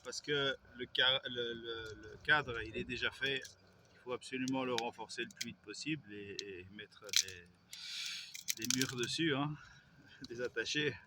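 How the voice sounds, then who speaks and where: A man's voice: mid-range voice, a little slow, background noise. A man, vlogging in a rambling way, with hesitations and self-corrections. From Belgium. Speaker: YouTuber. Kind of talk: casual vlog monologue.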